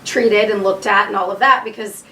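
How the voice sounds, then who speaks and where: A young adult woman, a radio co-host, talking candidly in an interview with a husky voice from throat nodules. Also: mid-range voice, fairly even intonation, a little fast, a bit bright, slight background noise. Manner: candid, laughs often. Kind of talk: casual interview chat. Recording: echoey room, mic at a distance.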